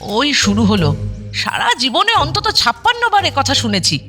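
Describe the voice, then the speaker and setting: A woman playing a sharp-tongued yet fond wife and mother-in-law in an audio drama, scolding and expressive. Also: sits high, moderate pace, faint music behind, exaggerated voice. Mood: nagging.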